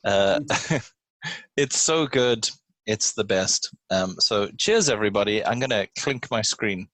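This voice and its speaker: A man with a fairly low voice hosts a relaxed podcast chat. He is playful and jokey as he talks to his listeners and friends. The sound is dry, with very little room in it. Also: takes it slowly, no room sound. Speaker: podcast host.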